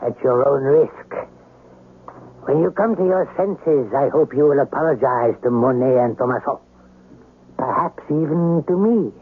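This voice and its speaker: An actor playing a grave, formal doctor of old Japan, measured and admonishing, in a radio drama. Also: male voice, mid-range voice, breathy edge. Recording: phone-like old radio recording.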